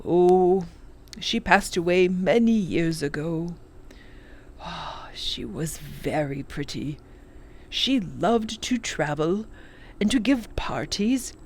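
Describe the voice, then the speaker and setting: Woman reading as an animated, kindly old professor. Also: on the low side, slight background noise. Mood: wistful. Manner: enthusiastic, exclaiming, savours long words. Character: kindly, learned, playful elderly male professor. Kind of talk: children's storybook character reading.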